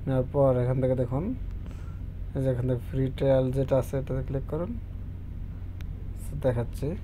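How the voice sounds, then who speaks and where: A man's voice: medium pitch, mellow, a little hiss or hum, rough recording. A man giving a casual, unscripted app tutorial, often searching for words as he explains. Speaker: tech tutorial presenter.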